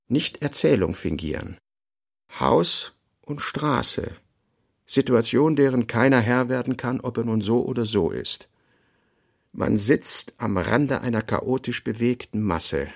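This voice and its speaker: Man reading literary notes, creaky voice. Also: mid-range voice. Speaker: reader of a writer's working notes. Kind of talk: literary radio play reading, scripted.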